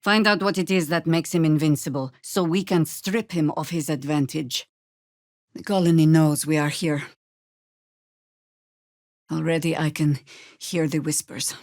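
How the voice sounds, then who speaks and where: An actress playing a weathered older female druid in a video game, wry and commanding, with a dry, deliberate delivery. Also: low-pitched, fairly even intonation, a little mellow, dry recording. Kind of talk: dramatic game dialogue.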